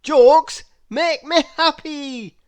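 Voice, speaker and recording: A woman's voice: high voice, drawn-out words, pitched above the performer's own voice. A woman voicing a cheerful, joke-loving little man from a children's storybook, exclaiming emphatically.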